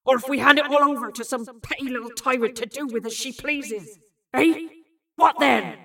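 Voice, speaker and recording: Woman playing an obsessive, gravelly, forceful scientist father. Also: on the high side, a little slow, distant mic, full character voice. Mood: defiant. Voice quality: strained, gravelly. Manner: forceful, defiant, screaming and growling. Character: obsessive, embattled father and scientist, stubborn man.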